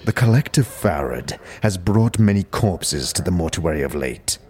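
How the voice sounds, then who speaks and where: Guy doing a solemn stony dustman, really low voice, formal and measured, fantasy audio play. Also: lively intonation, a little mellow. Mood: grave, solemn. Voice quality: gravelly, stony. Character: solemn heavy-set metal-skinned dustman elder. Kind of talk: fantasy audio drama acting.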